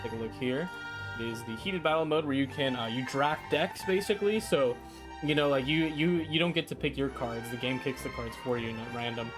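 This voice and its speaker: A man's voice: mid-range voice, fairly quick. A man hosting a live game stream, talking in a casual, rambling, slangy way. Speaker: livestream host, gamer. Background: game music.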